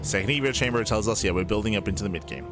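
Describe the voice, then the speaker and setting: A man from Australia with a mild Australian accent giving fast, chatty live commentary on a strategy game, with lots of fillers. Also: lowish voice. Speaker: esports caster. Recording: music playing behind.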